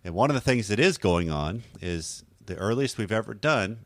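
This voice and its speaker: A man with a low, deep and slightly hoarse voice hosts a podcast in an easygoing, rambling way, often stopping to correct himself. The recording is dry and close, with no room sound. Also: lively intonation, moderate pace, no echo. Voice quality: deep radio voice. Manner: conversational, rambling, self-correcting. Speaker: podcast host. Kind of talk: casual podcast talk.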